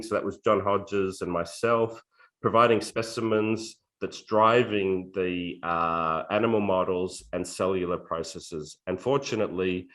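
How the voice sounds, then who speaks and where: A man, a neurology professor, giving a measured lecture with slides, telling anecdotes with frequent fillers. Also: low voice, fairly bright tone. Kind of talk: academic talk with slides.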